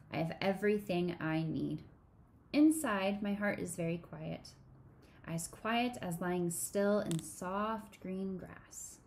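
Woman reading a children's story gently. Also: medium pitch. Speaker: children's sermon co-leader. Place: small home room.

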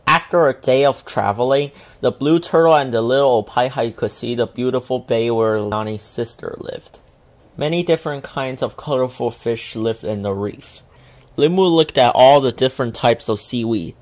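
A woman reading a children's picture book aloud as an expressive storyteller. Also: rumbling low, moderate pace. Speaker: storybook reader.

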